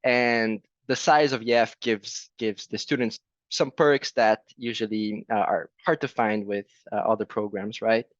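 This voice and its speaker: A man's voice: lowish voice, fairly even intonation, no echo, dull audio. A man from Brazil with a Brazilian Portuguese accent, presenting a language program in a friendly way at a virtual fair and often adding "right?". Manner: friendly, fills pauses with "right?". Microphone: video call.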